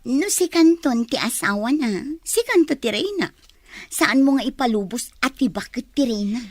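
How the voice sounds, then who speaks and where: High-pitched conniving confidante, Ilocano. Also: female voice, animated, normal speed, no echo, studio quality. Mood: gleeful, egging on. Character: gleeful, conniving young female confidante. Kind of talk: Ilocano radio drama acting.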